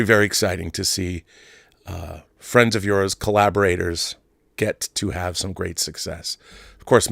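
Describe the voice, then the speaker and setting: A man in his fifties with a fairly low voice, recorded close and dry. He talks as a casual show host, leaning on key phrases and repeating them for emphasis, and wandering into asides. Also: creaky, a bit bright, no room sound. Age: 50s. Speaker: host of a film fan talk show. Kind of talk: casual live commentary and reading viewer mail.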